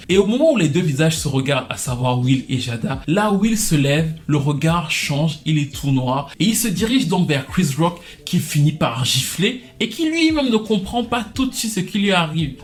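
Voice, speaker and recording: Higher-pitched man, playful chatty commentator. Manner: colloquial, playful, mixes English slang into French. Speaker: online video commentator and host. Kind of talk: casual scripted pop-culture commentary with sponsor read.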